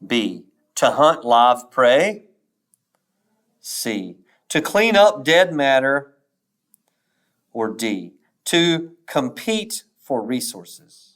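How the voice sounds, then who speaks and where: Male test reader, mid-pitched, extremely unhurried and deliberate, pausing between answer options, varied intonation. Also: sing-song, fairly bright tone. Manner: measured, pausing after each option letter. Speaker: teacher reading a test aloud. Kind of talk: slow, deliberate read-aloud of quiz questions and answer choices.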